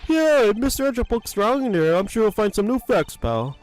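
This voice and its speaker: A performer voicing a gruff, cocky male agent in a fan dub of a game, blunt in manner. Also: mid-range voice, sing-song, fairly quick, a little mellow, soft background music, voice pushed up, natural voice, rough recording. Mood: brash.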